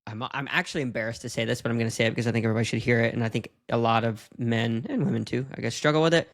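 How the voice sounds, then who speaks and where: Flat-toned man in his thirties. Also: mid-range voice, racing. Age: 30s. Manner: open, confessional musing. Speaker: podcast host. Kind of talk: podcast interview.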